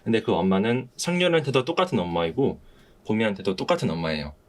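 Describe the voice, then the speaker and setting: A man's voice: lowish voice, lively intonation, fast. A man hosting a casual podcast discussion, speaking in an earnest, hesitant way. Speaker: podcast host.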